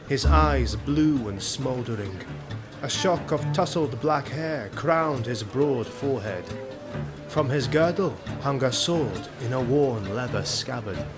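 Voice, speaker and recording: A man narrating a fantasy audio drama in a measured, theatrical storytelling manner. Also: medium pitch. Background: prominent music.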